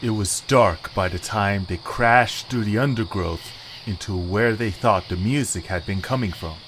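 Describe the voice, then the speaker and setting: A man's voice: on the low side, unhurried, a bit bright, slight background noise. A man reading scripted story narration.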